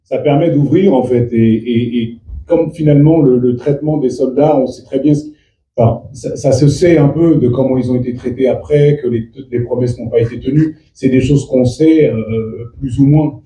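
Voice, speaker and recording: Dark-voiced man, halting, far in echoey room. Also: mid-range voice. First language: Fula. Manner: halting, many restarts and fillers. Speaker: actor. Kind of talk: press interview.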